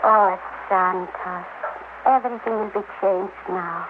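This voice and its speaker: A woman's voice: medium pitch, steady tone, takes it slowly, close to an everyday voice. An actress playing a devoted, emotional young Mexican wife and mother, tender and breathless, in classic radio drama style. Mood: tender, hopeful. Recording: noisy old dull radio recording.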